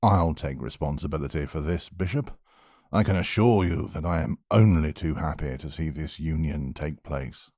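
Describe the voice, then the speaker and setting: A man playing a genial, reassuring aristocratic uncle in an audio drama, gentle, assured and courtly. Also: rumbling low, moderate pace, no room sound, clean studio sound. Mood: reassuring, pleased.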